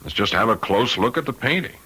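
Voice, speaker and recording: An actor playing a shrewd, dogged lawyer in an old-time radio mystery, blunt and coolly insistent. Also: male voice, lowish voice, lively intonation, fairly quick, pushed and bright, husky, exaggerated voice, poor audio. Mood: probing. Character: shrewd, dogged male lawyer investigating a crime. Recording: radio drama recording.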